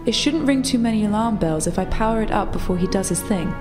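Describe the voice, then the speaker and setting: A woman playing a calm, practical technician in a tabletop roleplay, explaining things matter-of-factly. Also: medium pitch, fast, over music, lightly acted.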